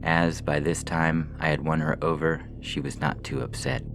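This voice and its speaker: A man reading a science fiction short story as scripted literary narration, in a measured, formal way, with music behind him. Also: very deep voice, vocal fry. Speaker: story reader. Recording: music bed under the voice.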